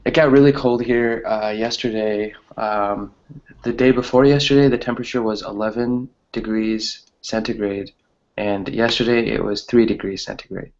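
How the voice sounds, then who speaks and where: Creaky low-voiced man, casual teacher chat. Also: fairly even intonation, normal speed, a little mellow, muffled recording. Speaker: online English class teacher.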